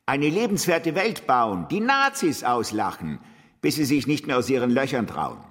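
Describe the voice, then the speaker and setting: An actor playing a combative, exuberant philosopher, impatient and scornful, speaking emphatically in a German radio drama. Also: male voice, mid-range voice, sing-song, normal speed, a bit bright. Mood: rousing, defiant. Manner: emphatic, declamatory. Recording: long hall reverb.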